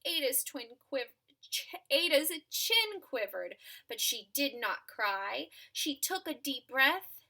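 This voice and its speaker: A woman's voice: high voice, big pitch swings, slow pace, creaky, sharp tone. A woman teacher reading a children's storybook aloud expressively, with asides spoken directly to young listeners.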